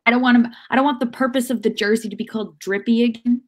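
A woman's voice: a little high, fairly even intonation, quick pace. A woman in her thirties with an American accent, giving wry opinions in a casual sports chat. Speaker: co-host and team reporter. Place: room at home. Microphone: video call.

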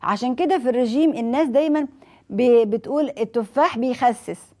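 A woman's voice: fairly high pitch, fast, warm tone. A woman in her forties with an Egyptian accent, a doctor explaining diet advice on a TV studio set, asking and answering her own questions. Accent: Egyptian Arabic. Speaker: nutrition doctor hosting a TV health show.

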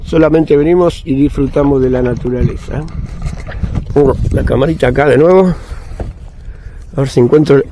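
A man's voice: mid-range voice, normal speed, pauses often. A man chatting casually to viewers while paddling a kayak on a river, pointing things out as he goes. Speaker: vlogger kayaking. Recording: outdoor camera audio, clear background noise. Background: water and paddling sounds.

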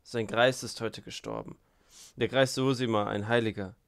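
A man performs a sneering young drinker in a storybook reading, spiteful and boastful. He needles loudly in a medium-pitched voice, recorded close and dry. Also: fairly even intonation, normal speed, gravelly, dry recording, lightly acted.

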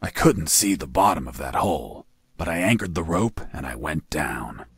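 A man playing a gruff, cocky prisoner action hero in a video game, telling his story in a deadpan drawl. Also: extremely low pitch, normal speed, soft background music, exaggerated voice. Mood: matter-of-fact.

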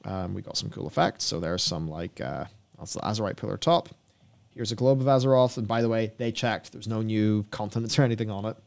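A man's voice: fairly low pitch, a little fast, gravelly, darkish tone. Young man co-hosting gaming news. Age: young adult. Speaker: co-host of a gaming news show. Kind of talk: reading notes with casual commentary. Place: small indoor studio with acoustic foam panels. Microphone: broadcast mic on a boom arm, close.

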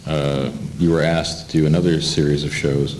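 An older man with a very deep voice, speaking conversationally as an interviewer. He is recorded in an echoey room with faint background noise. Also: little pitch movement, normal speed, a little mellow. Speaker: interviewer, radio broadcaster. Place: indoor room at a panel table. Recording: roomy, noisy amateur video sound.

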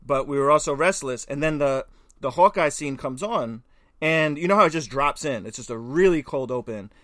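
A man's voice: a little high, normal speed, a bit bright, dry recording. Man in casual podcast chat, dry sound. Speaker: podcast co-host.

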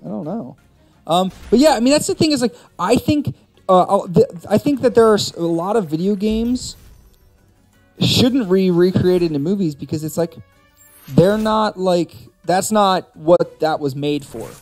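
High-voiced man hosting a casual stream. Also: lively intonation, unhurried, darkish tone. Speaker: host of a gaming and movie live stream. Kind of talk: casual opinionated chat. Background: background music.

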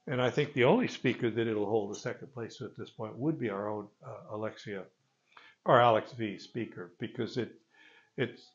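An older man giving an informal product presentation in a gravelly voice, with halting, hesitant phrasing, recorded on a phone a few meters away. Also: on the low side, lo-fi sound. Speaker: loudspeaker company presenter. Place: carpeted listening room with stone wall, indoors. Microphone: phone camera a few meters away.

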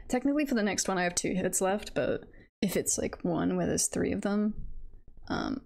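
Female game streamer, unhurried and fry-heavy, casual self-talk with repeated phrases. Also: mid-range voice. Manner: chatty, self-talking, often repeats phrases. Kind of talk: casual live game commentary, thinking aloud.

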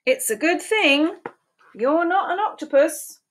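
A woman's voice: very high voice, expressive, measured pace. A woman in her sixties reading a picture book aloud to young children in a sing-song storytelling voice, asking them questions, recorded on a webcam at home. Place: small room at home, indoors. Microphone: webcam at desk.

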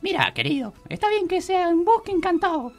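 A man's voice: extremely high pitch, expressive, fairly quick, faint music behind. A man voicing a cheeky, brusque little teddy bear with a sing-song, sarcastic delivery in a comic radio play. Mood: grumpy.

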